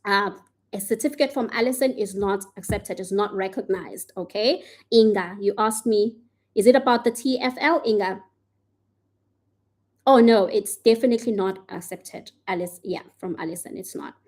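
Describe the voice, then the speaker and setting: A woman hosting a live stream, answering viewer questions in a casual way and often checking in with "all right?" and "okay?". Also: medium pitch. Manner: says she tends to speak too fast.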